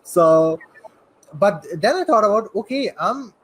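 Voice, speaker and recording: Eager young man, high voice, full of "like". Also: lively intonation, unhurried, a little hiss or hum, rough recording. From Saudi Arabia. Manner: eager, rambling, constant "like" and "you know". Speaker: guest entrepreneur. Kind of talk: casual interview talk.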